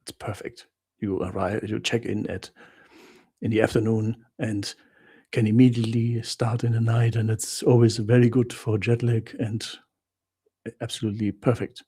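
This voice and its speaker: A German-accented man hosts a relaxed live Q&A for his viewers. His low voice is gravelly with a husky edge, and his tone is dark and mellow. He stops often to hunt for English words, then sums things up in short, blunt exclamations. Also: normal speed. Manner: hesitant, searches for words with many fillers, blunt exclamations. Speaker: livestream host answering viewer questions. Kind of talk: casual live Q&A chat.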